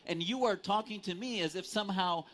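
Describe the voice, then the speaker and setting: A man's voice: high voice, fairly quick, fairly bright tone. A man from the United States in a live panel debate, speaking earnestly and insistently with formal vocabulary. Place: conference stage.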